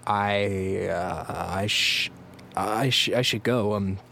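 A man's voice: lowish voice, slow, gravelly, bright, forceful tone, slight background noise, exaggerated voice. A man playing a timid, anxious patient in an audio drama, stammering and hesitant. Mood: nervous shock.

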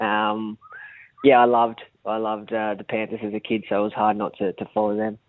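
A man from country New South Wales with an Australian accent and a rather high voice, talking easily as a guest on a phone line, with lots of fillers. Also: normal speed, creaky. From Central West of New South Wales, Australia. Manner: easygoing, many fillers and restarts. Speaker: football player guest. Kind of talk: phone interview on sports radio. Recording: dry tinny phone line.

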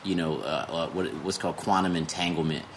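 A man in his thirties hosting a casual conversational interview, informal and salty, restarting his phrases as he explains things. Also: sits low. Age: 30s. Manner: informal, profane, halting restarts when explaining. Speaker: podcast host. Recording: noticeable background noise.

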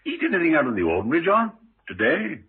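An actor playing a genial, shrewd older small-town doctor in a 1950s radio drama, with a husky voice and a musing, avuncular manner. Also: male voice, a little high, wildly swooping pitch, fast, heavily acted. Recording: muffled, thin old radio recording.

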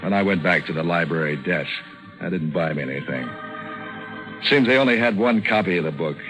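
Tough, cynical American gumshoe, low register, 1940s radio noir delivery over music. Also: male voice, a little fast. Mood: weary, wry. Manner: hard-boiled, slangy, deadpan. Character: tough wisecracking male private eye, cynical. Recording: vintage 1940s radio broadcast, music behind.

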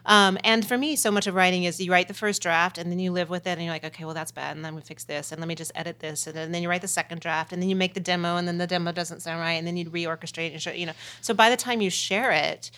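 Low-ish woman songwriter, candid and reflective, pokes fun at herself. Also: steady tone, quick pace, hardly any pauses, pushed and bright. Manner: candid, reflective, self-mocking. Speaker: songwriter guest. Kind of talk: casual interview chat.

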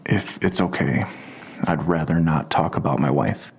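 A weary middle-aged police detective in an audio drama. The voice is low, with heavy fry and a very husky grain. He holds back, halting and subdued, as if carrying guilt. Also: exaggerated voice. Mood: apologetic, guarded. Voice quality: gravelly, husky.

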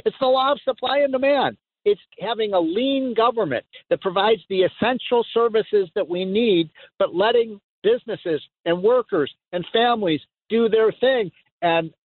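A man hosting a call-in talk radio show, emphatic and excitable, often laughing as he talks. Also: high voice, normal speed. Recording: tinny phone-like sound.

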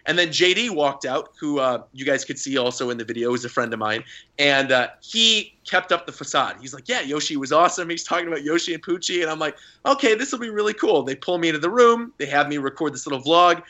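A young man co-hosting a podcast, telling stories with excitement and laughing often. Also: a little high, quick pace. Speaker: podcast co-host. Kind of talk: casual podcast chat.

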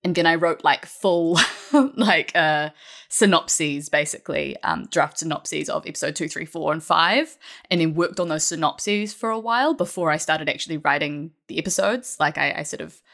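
A woman's voice: low-pitched, moderate pace, a bit bright. A woman talking casually as a writer guest on a podcast, rambling and correcting herself as she goes. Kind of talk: casual panel chat.

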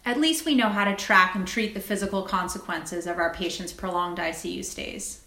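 A woman speaking as a guest doctor and writer, articulate and measured, in an interview and reading from her own essay. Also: medium pitch, bright, forceful tone. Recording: roomy, echoing sound.

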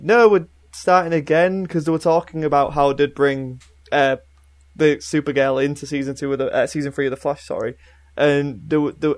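A young man with a voice pitched a little high chats casually as a podcast panellist. He is enthusiastic about pop culture and leans emphatically into his opinions. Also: darkish tone. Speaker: podcast panellist and film reviewer.

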